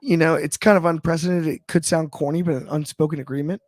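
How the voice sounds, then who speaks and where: A man with a slightly raspy voice, chatting about wrestling on a panel show in a thoughtful, halting way with many restarts. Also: on the high side, steady tone, darkish tone. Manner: thoughtful, frequent restarts and fillers. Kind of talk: casual discussion.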